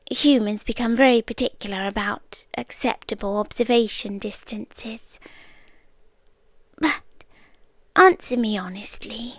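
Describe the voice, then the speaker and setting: Woman playing British alien yandere girlfriend, ASMR roleplay, eerily tender, breathy whispers, unhurried, very close and dry. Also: on the high side, no room sound, exaggerated voice. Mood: coaxing, unsettling. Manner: soft, breathy, drifting in and out of whispers. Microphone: close, dry microphone.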